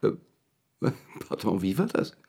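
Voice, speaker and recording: An actor playing a calm, cerebral, pensive man in a radio play, terse and deliberate, with a husky, gravelly voice. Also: on the low side, heavily acted. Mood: sober, probing. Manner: terse, deliberate, slips into slowly recited verse.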